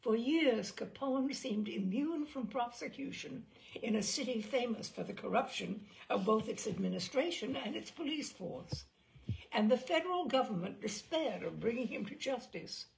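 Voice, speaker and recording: A woman reading a scripted history narration aloud as a storyteller, with measured, deliberate phrasing. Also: on the high side, expressive, creaky, darkish tone, low-quality recording. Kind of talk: scripted narration of true crime history, with occasional asides.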